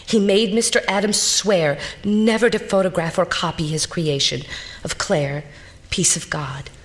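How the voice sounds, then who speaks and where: A dignified, grave lady, played by a woman, narrates a ghost story. Her voice is slightly low and her delivery solemn and measured, in theatrical period-drama style. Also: steady tone, close to an everyday voice. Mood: insistent, haunted.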